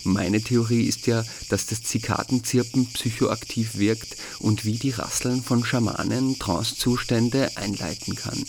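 A man from Austria reads a scripted first-person audio diary as narrator, in a measured, dryly wry way. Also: medium pitch, steady tone, background noise.

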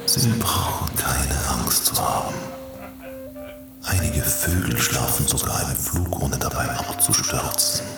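A bottomless, gravelly male dream voice, soothing and hypnotic. It recites very slowly in an echoey space under loud music, as in a radio play. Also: rumbling low, heavily acted.

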